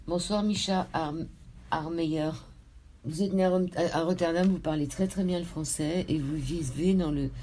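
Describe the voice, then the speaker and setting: Mellow-voiced woman radio host. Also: sits low. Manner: measured, spells out names letter by letter. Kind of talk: radio interview.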